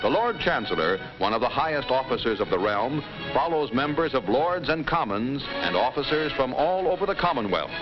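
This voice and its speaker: A man's voice: medium pitch, big pitch swings, moderate pace, hardly any pauses. An American man reading scripted narration in the style of a 1950s newsreel. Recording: dull vintage newsreel soundtrack, loud music.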